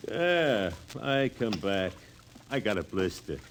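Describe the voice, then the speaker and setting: A brash, dismissive crewman in a vintage radio play. His low voice rings with long reverb over clear background noise. The manner is crude and blunt. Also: sing-song, takes it slowly, exaggerated voice. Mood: sullen. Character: brash, crude, loudmouthed hard-drinking crewman. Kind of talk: 1950s radio drama acting.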